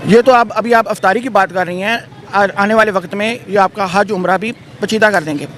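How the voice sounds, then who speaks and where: A man's voice: high-pitched, steady tone, fairly quick, a bit bright, some chatter behind. A man giving emphatic, decisive opinions in a street interview. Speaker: member of the public being interviewed. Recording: outdoor street noise, passing vehicles.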